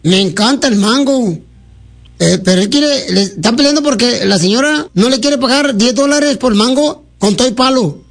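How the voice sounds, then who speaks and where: A man's voice: very high-pitched, animated, moderate pace, creaky, heavily acted. A man voicing a lonely, silly male caller who rambles, teases and flirts on a radio prank call. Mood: teasing. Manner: rambling, teasing, crude jokes.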